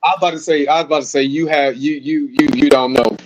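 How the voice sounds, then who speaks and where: A man hosting a casual interview, relaxed and quick to laugh. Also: a little high, low-quality recording. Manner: relaxed, laughs easily, many short agreements. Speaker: show host. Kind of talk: casual conversation.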